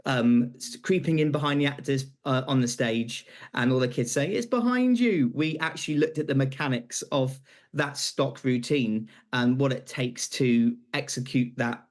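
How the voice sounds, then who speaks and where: A young British man answering questions as a guest on live television, earnest and slightly halting. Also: medium pitch. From Britain. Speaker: interview guest, pantomime graduate.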